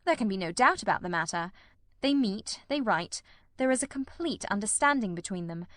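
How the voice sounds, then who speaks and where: Casting: woman reading as a calm, incisive gentleman detective for an audiobook drama; measured, explanatory delivery, very dry sound. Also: mid-range voice, no room sound, lightly acted. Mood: calm, analytical.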